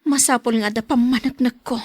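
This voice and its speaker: A woman playing a suspicious, hurt young wife in an Ilocano radio drama. Her voice sits fairly high with a breathy, husky grain, recorded close and dry. She speaks with an Ilocano accent. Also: steady tone, a little fast, no echo, lightly acted. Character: suspicious, hurt young wife, quietly probing then tearful.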